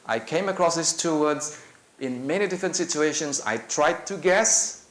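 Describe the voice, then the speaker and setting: A middle-aged man from Indonesia, a native Indonesian speaker, giving a conversational lecture to teachers, with many filler pauses, holding a handheld mic in a lecture room. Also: fairly high pitch, fairly bright tone. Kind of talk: conference talk. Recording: echoey room with faint noise.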